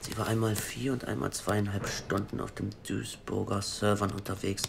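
A man's voice: lowish voice, creaky, a little hiss or hum. An actor playing a nervous, stammering male hacker in an audio drama. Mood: tense. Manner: stammering, hurried, excitable. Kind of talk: radio drama acting.

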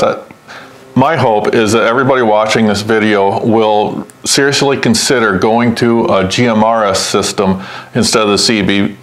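An American man in his fifties chatting casually to camera as a vlog co-host, halting and plain-spoken, often repeating himself. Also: on the low side. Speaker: overlanding channel co-host. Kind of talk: casual unscripted chat to camera.

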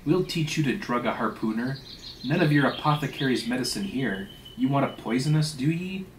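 A man's voice: mid-range voice, big pitch swings, moderate pace, echoey room, recorded from a distance, a little hiss or hum, close to an everyday voice. A man reading a storybook sea tale as an indignant, sarcastic ship's mate who scolds in emphatic, mock-polite rhetorical questions. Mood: blustering, scolding. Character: indignant, sarcastic male whaling second mate, suspicious and blustering. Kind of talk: dramatic reading of a classic sea novel.

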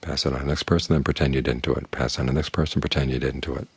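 Very deep, husky-voiced older man giving a talk. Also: rapid-fire, dry recording. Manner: calm, plain-spoken, uses homely images. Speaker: Buddhist monk teacher. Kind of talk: evening dharma talk.

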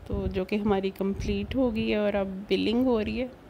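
A Pakistani woman vlogger with a soft-edged, mellow voice at a medium pitch. She chats casually through her narration, pausing on fillers as she goes. There is noticeable noise on the recording. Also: steady tone, vocal fry. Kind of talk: casual shopping vlog narration.

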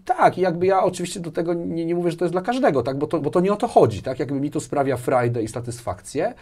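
A man's voice: on the high side, animated, fast talker, darkish tone. A man around his forties giving conversational interview answers in a rambling way, repeating words and tagging phrases with little questions. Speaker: interview guest, corporate manager. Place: small furnished studio, indoors. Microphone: lapel mic.

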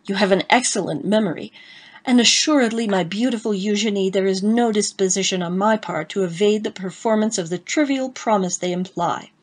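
A woman playing a gallant young gentleman who talks in florid, exclaiming phrases. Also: mid-range voice, fairly quick, close to an everyday voice. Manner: florid, exclaiming, stammering in shock.

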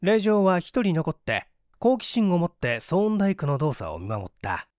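A man's voice: high voice, sing-song, normal speed, a little mellow, no room sound, clean studio sound. A man reading a mystery story as scripted narration, with a dramatic manner that acts out the dialogue. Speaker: audiobook reader, stage actor.

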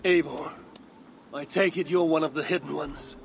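A woman's voice: low voice, steady tone, moderate pace, creaky, slight background noise. An actress playing a blunt, suspicious and proud Viking warrior woman in a video game. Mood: guarded. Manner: blunt, curt, challenging. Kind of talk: video game cutscene acting. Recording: game audio with faint music. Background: game soundtrack music.

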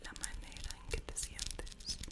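Slowly whispering young woman. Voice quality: breathy, unvoiced whisper. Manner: slow, soothing, instructive. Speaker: ASMR meditation guide. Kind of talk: whispered ASMR meditation roleplay. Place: small bedroom, indoors. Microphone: desk condenser microphone, very close.

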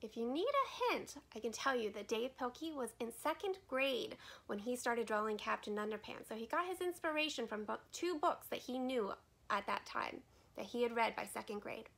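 A woman's voice: on the high side, animated, normal speed, creaky, fairly bright tone. A woman in her forties presenting a trivia question to camera as a librarian, recorded at home. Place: indoor sunroom at home.

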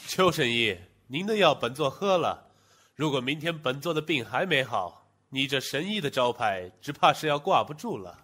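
An actor playing an imperious, mocking sect master in a Chinese period audio drama. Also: male voice, mid-range voice, lively intonation, normal speed, a bit bright, a little hiss or hum, natural voice. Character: haughty, sardonic male sect master of ancient China.

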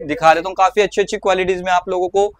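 A man in a shop giving a rapid product walkthrough, quoting prices in a repetitive sales patter. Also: high-pitched, steady tone, no echo. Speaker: vlogger showing a wholesale shop. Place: indoor wholesale shop.

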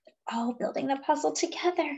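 A young adult woman reading a picture book aloud to small children, addressing them in a deliberate sing-song way. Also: high voice, gravelly, darkish tone. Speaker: children's story reader.

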